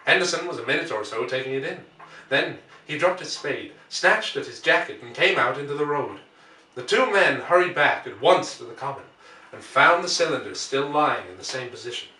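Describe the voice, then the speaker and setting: Male audiobook reader, mid-pitched and deliberate, scripted dramatic narration, echoey room. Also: lively intonation, sharp tone, slight background noise. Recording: roomy, distant microphone.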